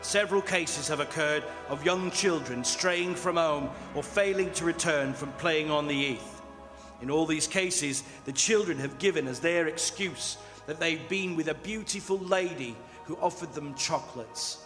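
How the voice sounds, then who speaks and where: An actor playing a formal newspaper report reader, declaiming scripted news in a radio drama. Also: male voice, a little high, measured pace, a bit bright. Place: performing arts center stage. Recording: echoey theatre hall with music behind.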